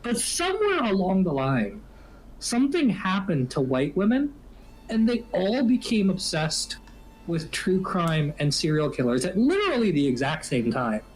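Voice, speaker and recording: A man in his twenties co-hosting a podcast in casual chat, ranting with animated profanity and repeating phrases for emphasis. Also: high voice, normal speed, slight background noise, low-quality recording. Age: 20s. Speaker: podcast co-host.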